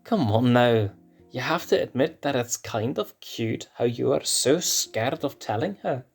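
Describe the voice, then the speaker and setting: A man's voice: lively intonation, unhurried, faint music behind, heavily acted. A man voicing a timid, nervous young male lion cub who stammers and hesitates. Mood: teasing. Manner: hesitant, stammering, high and breathy-edged.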